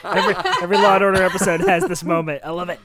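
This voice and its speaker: Higher-voiced male tabletop player, group chatter behind. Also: level tone, rapid-fire. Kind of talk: casual improvised role-play chat.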